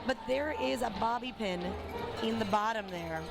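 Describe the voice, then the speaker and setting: A woman with a hoarse voice giving chatty, laughing live commentary at a dance event. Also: fairly high pitch, normal speed. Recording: live stream with loud music and crowd behind. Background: loud swing music and crowd.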